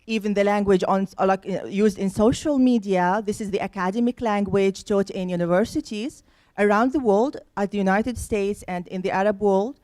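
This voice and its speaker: A woman at mid pitch leads a panel discussion, setting up questions at an even, deliberate pace. Her voice sounds close and dry, with no room echo around it. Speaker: host interviewing panel guests. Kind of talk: friendly panel interview.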